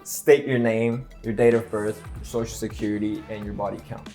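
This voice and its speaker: A man's voice: on the low side, fairly even intonation, moderate pace, warm tone, soft background music. Boastful playful young man vlogging. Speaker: vlog host doing makeup blindfolded. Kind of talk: casual joking vlog chat.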